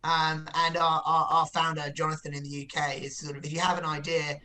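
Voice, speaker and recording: A man's voice: a little high, completely flat delivery, brisk, bright, forceful tone. A man giving a business interview as a company executive, explaining things with frequent repeated words.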